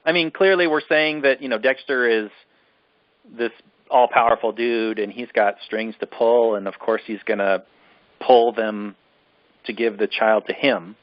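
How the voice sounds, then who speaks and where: A man's voice: medium pitch, a little slow, no room sound, lo-fi sound. A man co-hosting a casual film discussion, ranting in an exasperated, emphatic way and repeating himself for emphasis. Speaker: podcast co-host reviewing films.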